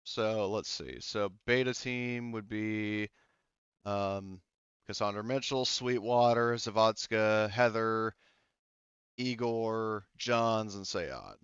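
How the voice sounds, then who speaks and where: Brief: male game master, low smooth voice, rambling, lots of "like" and "um", dull lo-fi dry sound. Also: slow pace, fairly bright tone, no room sound. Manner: rambling, many fillers and restarts. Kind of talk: casual tabletop game narration. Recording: dull lo-fi audio.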